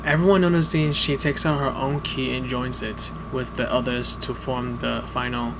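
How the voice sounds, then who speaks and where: A man's voice: medium pitch, flat delivery, normal speed. A man speaking up. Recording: clear background noise.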